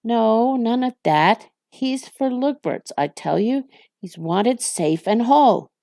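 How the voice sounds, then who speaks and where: A woman's voice: fairly high pitch, lively intonation, takes it slowly, warm tone, no room sound, clean studio sound, voice pushed up. A woman voicing an irritable, growling male orc captain from a fantasy storybook, blustering and snarling. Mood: angry, scornful.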